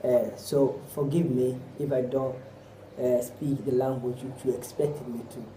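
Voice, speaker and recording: Guy preaching, dark mellow voice, keeps hammering questions, echoey room. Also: mid-range voice, little pitch movement, unhurried, a little hiss or hum. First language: Somali. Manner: exhorting with repeated rhetorical questions; often drops in Arabic phrases. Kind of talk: sermon. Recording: roomy echo.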